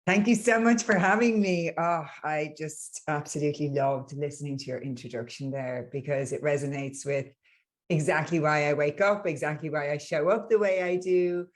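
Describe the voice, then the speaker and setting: A woman's voice: low voice, moderate pace. A woman in her forties with an Irish accent from Dublin, an earnest, upbeat coach talking as a podcast guest. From Ireland. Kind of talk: conversational interview.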